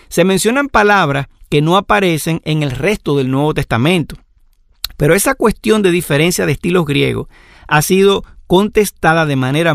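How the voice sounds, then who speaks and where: Male Bible teacher, slightly raised pitch with some fry, patient explanatory lecture delivery. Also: dry recording, clean studio sound. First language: Spanish. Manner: measured, explanatory, lists examples. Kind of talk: lecture on scripture.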